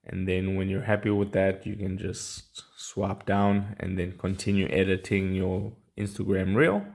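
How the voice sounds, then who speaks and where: A man with a low-pitched voice and plenty of vocal fry narrates a how-to tutorial. He speaks casually and plainly, taking the listener through each step. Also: fairly even intonation, a little slow, a little mellow. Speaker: tutorial presenter.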